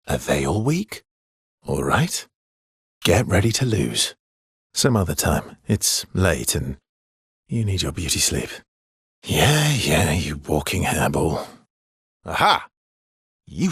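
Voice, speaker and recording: An actor playing a wry, loyal, world-weary swordsman in a video game, with a husky, breathy voice and a dry, teasing manner. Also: low voice, a bit bright, no echo, full character voice. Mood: playful banter.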